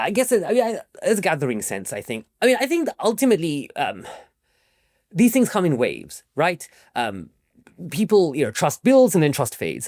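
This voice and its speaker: An animated man speaks as an interview guest, explaining things with a wide, lively swing in pitch. He often checks in with "right?" at the end of a point, and the sound is dry and close. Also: no echo. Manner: frequently tags statements with "right?". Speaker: interview guest, academic author and historian.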